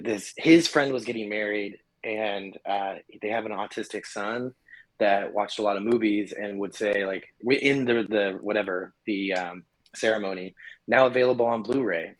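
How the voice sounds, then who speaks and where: A man's voice: on the low side, poor audio. A young man, a guest on a casual interview over a remote call, speaking in an easygoing, hesitant way, full of "like"s and small laughs.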